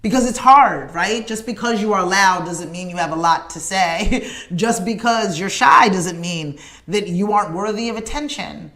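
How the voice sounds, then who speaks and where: A woman's voice: mid-range voice, normal speed, few pauses, fairly bright tone. Earnest woman hosting a morning stream. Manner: earnest, emphatic, repetitive rallying cadence; addresses viewers in comments by name. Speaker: morning show host. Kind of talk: direct-to-camera livestream appeal.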